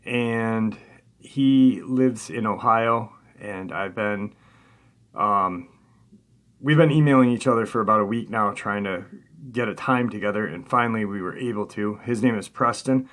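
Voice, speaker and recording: A man's voice: fairly low pitch, unhurried, fairly bright tone. A man speaking as the host of an interview show, introducing things and addressing listeners. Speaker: host of a paranormal podcast.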